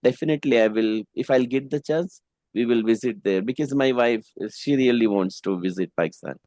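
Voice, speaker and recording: A man speaking in a relaxed, casual way, pausing often and filling gaps with "you know". His voice is mellow and dark. The recording is dry, with no room sound. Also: mid-range voice, dry recording. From India. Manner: relaxed, hesitant, frequent "you know" fillers. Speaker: English conversation partner. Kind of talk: casual conversation practice.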